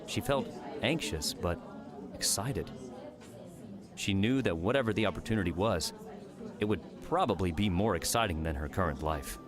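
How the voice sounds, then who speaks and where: A man's voice: deep voice, expressive, normal speed, long pauses, a crowd in the background. A man reading scripted story narration as the narrator of an audiobook.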